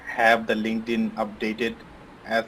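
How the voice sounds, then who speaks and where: A man's voice: lowish voice, completely flat delivery, unhurried, fairly bright tone, slight background noise, poor audio. A man from Bangladesh, a podcast guest, answering interview questions in a casual, hesitant way with many fillers and restarts. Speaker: podcast guest, software engineer.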